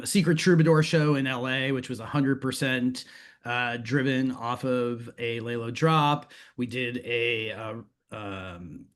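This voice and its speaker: Halting middle-aged man, medium-pitched voice. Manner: halting, searching for words, anecdotal. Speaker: guest, music industry manager. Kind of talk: casual panel interview answers.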